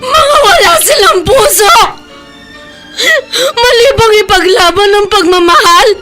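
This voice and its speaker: A woman's voice: very high voice, unhurried, over loud music. An actress playing a crying, desperate young woman pleading about her love in a radio drama. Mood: tearful. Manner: pleading, tearful.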